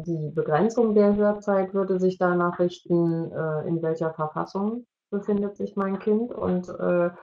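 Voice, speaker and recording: A middle-aged woman explaining as an expert in an online discussion, with measured, hesitant phrasing. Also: fairly low pitch. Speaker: expert panelist. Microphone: video call. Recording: dull, lo-fi video call audio.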